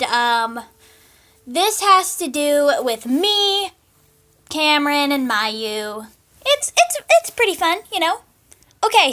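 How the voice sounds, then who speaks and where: A woman's voice: sits high, bright, forceful tone. A woman hosting a casual reading of fan fiction, giggly and adding amused asides.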